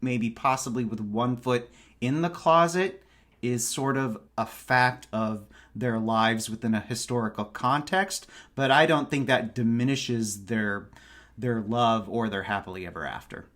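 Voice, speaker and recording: A man speaking as a podcast guest in a casual book discussion, with thoughtful, measured phrasing. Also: medium pitch, a bit bright.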